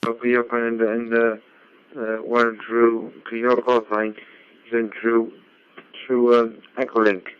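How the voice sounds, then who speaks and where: Man, very flat intonation, smooth clear tone, halting technical chat, amateur radio operator, muffled radio transmission. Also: medium pitch. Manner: halting, repeats words. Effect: digital ham radio transmission. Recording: dull, band-limited amateur radio audio.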